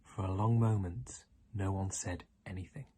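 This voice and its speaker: A man's voice: sits low, steady tone, normal speed, dark tone, husky, no room sound. A man reading a children's story aloud as narrator. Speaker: audiobook reader.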